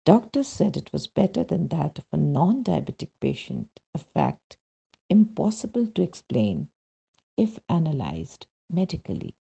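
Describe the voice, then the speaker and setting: Dark, gravelly man reading aloud. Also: on the high side, very animated, a little slow, lo-fi sound. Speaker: reader of a devotional testimony. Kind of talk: scripted narration, read aloud.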